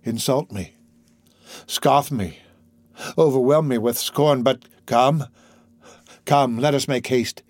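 A man's voice: on the high side, darkish tone, no room sound, studio quality, voice pushed up. A man reading the part of an anguished priest desperately pleading his obsessive love, in impassioned, theatrical declamation. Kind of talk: audiobook character reading.

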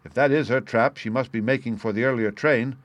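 A man voicing a sharp detective who speaks in a quick, clear, commanding way. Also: medium pitch, fairly even intonation, dry recording, natural voice. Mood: brisk.

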